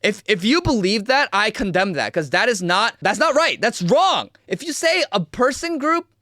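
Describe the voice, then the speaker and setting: A man's voice: high voice, sharp tone, no room sound, studio quality. A young man of Korean origin giving a heated, repetitive livestream commentary rant as host. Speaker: streamer host.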